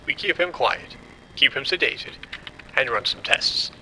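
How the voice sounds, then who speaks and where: A man acting as a cold, authoritative scientist in a horror audio drama. His gravelly, very forceful voice is grave and deliberate, with a faint low rumble behind it. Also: lively intonation, heavily acted, poor audio. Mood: controlled, cold. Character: cold, commanding male doctor covering up a disaster. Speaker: lead scientist.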